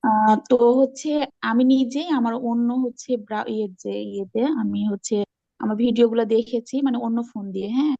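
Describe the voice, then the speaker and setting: High-voiced woman, eagerly explaining on a call. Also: steady tone, a little fast, gravelly, warm tone, no echo, muffled recording. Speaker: class participant. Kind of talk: casual group call discussion, explaining from own experience. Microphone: online call.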